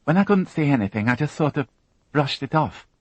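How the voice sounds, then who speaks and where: A man voicing a frightened young woman who witnessed a crime, tearful and halting, repeating her words as she talks. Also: on the high side, animated, no echo, voice pushed up. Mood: shaken.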